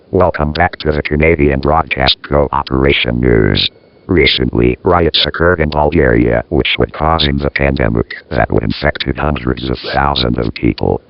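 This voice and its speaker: Extremely deep, gravelly male news announcer. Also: no echo. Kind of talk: scripted news bulletin. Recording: dull lo-fi audio.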